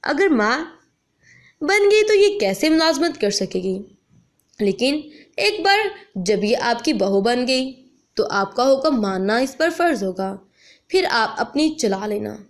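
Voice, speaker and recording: A woman storyteller voicing a deferential, conciliatory village father who persuades gently. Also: fairly high pitch, sing-song, unhurried. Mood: pleading.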